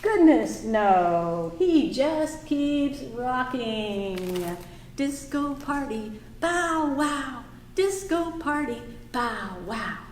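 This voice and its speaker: A woman reading a picture book aloud to small children at a library storytime, singing the refrains. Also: high voice. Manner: slow, singsong, animated for small children. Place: library room. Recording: echoey room, faint background noise.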